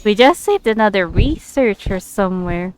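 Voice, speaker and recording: A woman's voice: medium pitch, animated, moderate pace, clear, resonant tone. A woman giving casual commentary while she plays a video game. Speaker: gamer playing and commenting.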